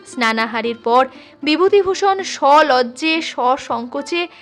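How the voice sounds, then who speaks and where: A woman reading a classic short story as a storyteller, in an expressive, measured and dramatic scripted narration. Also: high voice, soft background music. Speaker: storyteller reading literary fiction.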